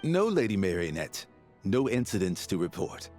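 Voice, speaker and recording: An actor playing an officious guard in a video game, stern, procedural and faintly condescending. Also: male voice, low-pitched, very animated, normal speed, a little mellow. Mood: deferential. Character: officious gatekeeping guard, curt to visitors, deferential to superiors. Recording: game music behind the voice. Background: background music.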